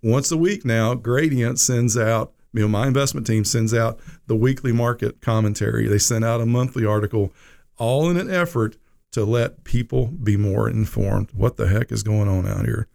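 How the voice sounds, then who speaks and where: A man's voice: mid-range voice, moderate pace, vocal fry, darkish tone. A middle-aged man hosting a financial radio show, talking in a folksy, rambling way with lots of "you know". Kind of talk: casual radio talk.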